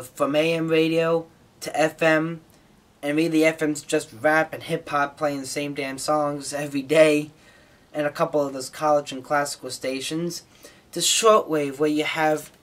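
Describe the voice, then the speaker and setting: A man giving a casual, unscripted monologue as a hobbyist host, informal and opinionated in his way of talking. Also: fairly high pitch. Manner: informal, opinionated, mildly swearing. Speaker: shortwave radio hobbyist host.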